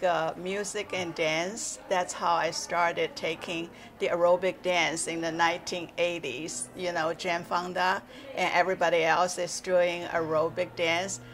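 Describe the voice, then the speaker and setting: Brief: woman in her sixties, exercise teacher, slow and gravelly, halting. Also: lowish voice, fairly bright tone, slight background noise. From born in China, raised in Taiwan. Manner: halting, with frequent uh fillers and simple grammar. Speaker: exercise instructor. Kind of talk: casual interview. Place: indoor library room, seated in armchair.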